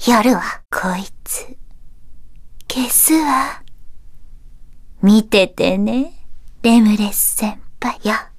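A woman playing an eerie, intense fortune-telling schoolgirl in a Japanese video game, lovestruck and menacing, ominous in delivery. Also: full character voice. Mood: whispery menace. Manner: slow, ominous delivery with whispery drops and sudden shrill outbursts.

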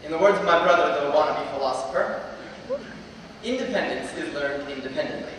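A man's voice: a little high, fairly quick, distant mic, background noise. Teen boy speaking in an echoey hall. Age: late teens. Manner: earnest with wry humor. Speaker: student graduation speaker. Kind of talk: commencement address. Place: large auditorium at a lectern. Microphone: stand mic, filmed from far off. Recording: echoey hall recorded from afar.

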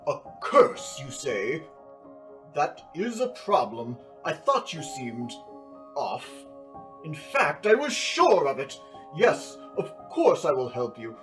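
A man's voice: fairly high pitch, sing-song, takes it slowly, a bit bright, full character voice. A man voicing a kindly, flustered older physician in a cartoon, fussy, courtly and hesitant. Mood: kindly, pondering. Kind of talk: animated drama dialogue. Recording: music under the dialogue.

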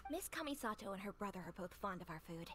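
A woman's voice: medium pitch, animated, fast, fairly bright tone, a little hiss or hum, lightly acted. A woman playing a friendly, chatty owner of a humble eatery in a video game, with an easygoing, confiding, neighborly manner. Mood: welcoming.

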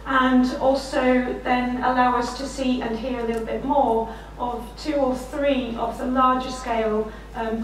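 A middle-aged woman with a slightly croaky voice, giving a conference keynote with self-deprecating anecdotes. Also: a little high, slight background noise. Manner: warm anecdotal, self-deprecating, often laughing while speaking. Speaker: keynote speaker. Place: lecture room, standing behind a desk. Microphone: room mic, far from speaker. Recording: echoey lecture room from far off.

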